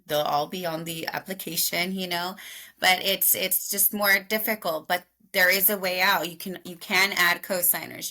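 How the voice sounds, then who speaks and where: A woman's voice: on the low side, bright, forceful tone. A woman in her thirties from Mexico, a loan officer answering interview questions agreeably, with hesitant fillers.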